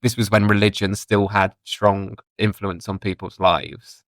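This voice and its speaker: A British man speaks in a fairly low voice as a guest on a podcast. He explains his thoughts reflectively and informally, in a dry, close recording. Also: level tone, a little slow, a bit bright, dry recording. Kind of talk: casual discussion.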